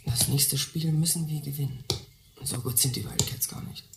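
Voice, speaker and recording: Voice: mid-range voice, fairly even intonation, normal speed, gravelly, reverberant, noisy background, lightly acted. A performer playing a restless, impatient teenage schoolboy in a radio play, brash, slangy and grumbling. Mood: distracted, grumbling. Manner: impatient, muttering to himself, slangy. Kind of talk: German radio play acting.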